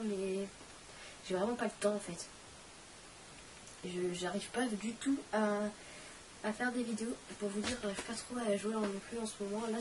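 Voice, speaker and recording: Teen girl vlogger with a cold, chatty and self-deprecating, recorded from across the room over a steady hiss. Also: fairly high pitch, recorded from a distance, poor audio. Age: teens. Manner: rambling, self-mocking, chatty. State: has a cold, coughing. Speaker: channel host. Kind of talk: casual vlog Q&A.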